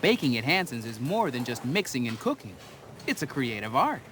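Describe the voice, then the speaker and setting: A man's voice: medium pitch, big pitch swings, moderate pace, noisy background. A man narrating a children's television segment in a scripted, playful style, as the show's narrator.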